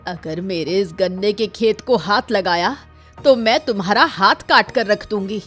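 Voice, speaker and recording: A woman's voice: mid-range voice, a little slow, faint music behind, close to an everyday voice. A woman voicing a stubborn, defiant old village mother-in-law in a cartoon story. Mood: threatening.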